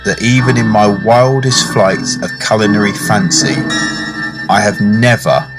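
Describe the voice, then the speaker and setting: Radio drama diner-narrator, played by a man: lowish male voice, polite, reflective, measured, with music underneath. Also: rough recording.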